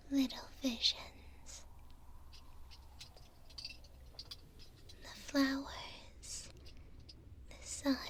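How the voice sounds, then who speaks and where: A woman playing a gentle, hopeful imprisoned elf in an ASMR roleplay, speaking tenderly in a soft, breathy whisper close to the mic with pauses between short phrases. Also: high voice. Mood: wistful, dreamy. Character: gentle, hopeful female elf prisoner in a dungeon. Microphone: close binaural microphone.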